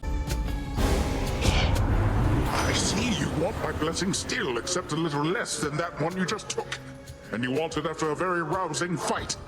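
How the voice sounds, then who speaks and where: A man's voice: on the high side, unhurried, pauses often, close to an everyday voice. A man playing a jovial, boastful sea god uncle in a video game, with grandiose, playful and theatrical delivery that is goofy yet haughty. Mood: mock-indignant, boastful. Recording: loud game music behind the voice.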